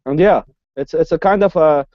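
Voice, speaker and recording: Man from the Middle East, fairly high voice, stop-start speech, dry muffled call audio. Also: no room sound. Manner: halting, frequent restarts. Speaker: human rights activist guest. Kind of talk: casual podcast interview.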